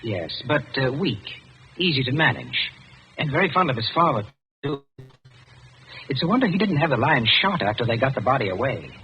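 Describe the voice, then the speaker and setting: A man's voice: mid-range voice, very animated, moderate pace, close to an everyday voice. An actor playing a young man in a radio drama, suspicious and sardonic, speaking in a clipped, pointed, insinuating manner. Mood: probing, insinuating. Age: young adult. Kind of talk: old-time radio drama acting. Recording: muffled, noisy old radio broadcast.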